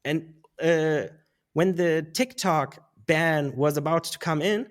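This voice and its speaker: Guy in his 30s, talks kinda high, rambles and laughs a lot. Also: takes it slowly. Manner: rambling, restarts, laughs often. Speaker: guest. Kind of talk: casual interview conversation.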